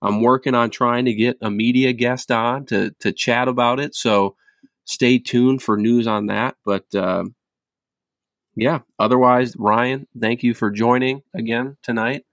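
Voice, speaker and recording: A man's voice: mid-range voice, steady tone, a little slow, a bit bright, dry recording. A man hosting a casual sports podcast, laid-back and stumbling through restarts. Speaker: podcast host. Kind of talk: casual chat.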